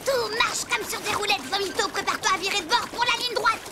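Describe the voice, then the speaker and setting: A woman voicing an excitable, naive young schoolchild in an animated cartoon. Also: very high-pitched, fast. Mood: excited. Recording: loud music behind the voice.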